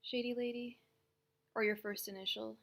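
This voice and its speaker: Chatty young woman with a gravelly edge. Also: fairly high pitch, fairly even intonation, fairly bright tone. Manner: chatty, greets viewers by name, laughs often; says she talks fast. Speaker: tarot reader hosting a livestream. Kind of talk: casual livestream chat.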